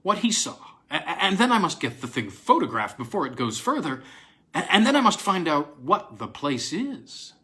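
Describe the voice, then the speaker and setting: A man playing an excitable, anxious male university don in a storybook reading, with stammering eagerness. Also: a little high, normal speed, pitched above the performer's own voice. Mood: agitated, resolved.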